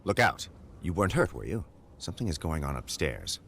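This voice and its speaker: A man playing a composed, formal gentleman detective in a video game, with old-fashioned measured phrasing. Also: a bass voice, very animated, a little hiss or hum, exaggerated voice. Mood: startled. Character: gentlemanly male detective companion, composed and formal, quick to alarm. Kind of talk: video game character acting.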